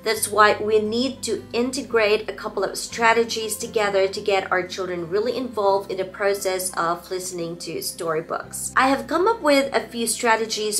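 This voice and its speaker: Female educator, 30s, medium pitch, orderly scripted explainer delivery, music underneath. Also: a bit bright. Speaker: early childhood educator giving parenting tips. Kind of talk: scripted instructional presentation to camera.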